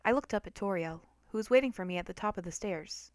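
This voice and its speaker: A woman reads first-person fiction aloud with expressive, dramatic delivery. Her voice sits at a medium pitch. The sound is dry and close, with no room around it. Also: fast, dry recording. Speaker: narrator of a fan story.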